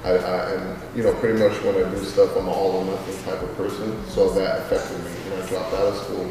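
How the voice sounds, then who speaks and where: A man's voice: low-pitched, flat delivery, fairly quick. A man in his thirties, a music artist and label owner, answering interview questions in a rambling, casual way with many fillers. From Queens, New York; raised in Los Angeles. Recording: distant mic in echoey reverberant space with crowd.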